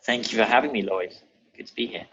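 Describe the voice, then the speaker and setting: A man's voice: on the low side, fast, a bit bright, breathy edge, no echo. A young British man chatting casually as a podcast guest over a video call. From England and Germany. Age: young adult. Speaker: podcast guest, real estate advisor. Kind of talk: casual conversational interview. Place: bright room at home, indoors. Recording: dull, muffled video-call audio.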